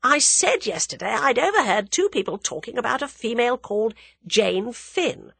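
A woman voicing a sensible, wry young English man in a dramatised audiobook, with a measured, sceptical manner. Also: fairly high pitch, lively intonation, creaky, fairly bright tone, husky, dry recording, pitched above the performer's own voice, full character voice. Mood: puzzled, firm. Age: young adult.

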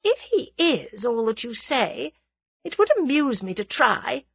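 A woman narrator performs a worldly, haughty female schemer from a storybook. Her voice sits high and swings widely in pitch, airy and coolly amused. She taunts with scornful confidence in a dry, close recording. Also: sing-song, measured pace, gravelly, husky, no echo, heavily acted. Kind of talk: dramatised audiobook character dialogue.

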